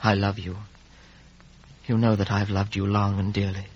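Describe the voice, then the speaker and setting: An actor playing an earnest, lovelorn young gentleman in a 1950s radio drama. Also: lowish voice, completely flat delivery, normal speed, breathy edge. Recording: muffled, dull vintage radio recording.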